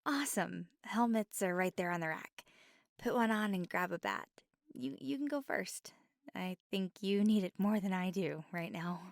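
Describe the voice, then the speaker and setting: A woman's voice: mid-range voice, expressive, normal speed, vocal fry, dry recording, studio quality. A woman playing a nervous, apologetic young woman with water powers in an ASMR roleplay, stammering and slipping into whispered asides. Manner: drops into soft whispered asides.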